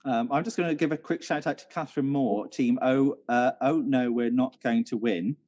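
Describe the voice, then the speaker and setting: A man's voice: mid-range voice, moderate pace, darkish tone, no echo. A British man co-hosting a quiz with casual chat and announcements, recorded with a dull, muffled sound. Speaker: quiz co-host, venue manager.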